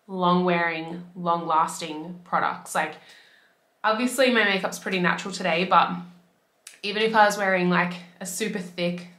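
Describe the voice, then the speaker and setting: A woman in her twenties from Australia, chatting casually to camera as a beauty vlogger, her voice slightly raspy from a cold. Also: on the low side, pushed and bright, echoey room. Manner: chatty, upbeat, fillers like "like". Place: bedroom by a window.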